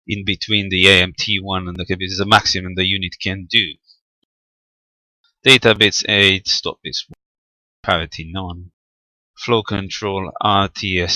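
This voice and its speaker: Male hobbyist demonstrator, low voice with a bright edge, step-by-step explanations with hesitant pauses. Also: no echo, poor audio. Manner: halting, methodical, explanatory. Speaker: amateur radio hobbyist presenting equipment. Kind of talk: unscripted screen-recorded technical walkthrough.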